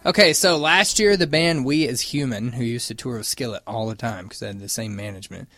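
A man's voice: mid-range voice, fairly quick, fairly bright tone, dry recording. Teasing male radio co-host, dry sound. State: getting over a cold. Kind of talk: casual radio banter.

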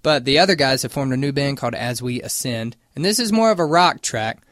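A man's voice: mid-range voice, animated, fairly quick, a bit bright, no echo. A man co-hosting a radio show, still getting over a cold, teasing with dry humor. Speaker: radio co-host. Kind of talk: casual radio banter.

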